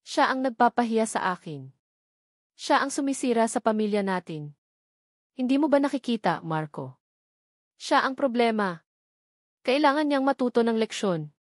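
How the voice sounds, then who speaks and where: A woman's voice: fairly high pitch, expressive, moderate pace, long pauses, no room sound, studio quality. A Filipino woman storyteller voicing a bitter, jealous wife, angry and accusing, with a scornful, confrontational manner.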